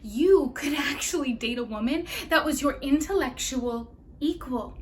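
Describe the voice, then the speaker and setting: A woman's voice: high-pitched, unhurried, slight background noise. A woman acting a scathing, sarcastic, self-righteous young woman in a theatrical monologue, with biting mockery and emphatic stresses. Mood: sarcastic, indignant.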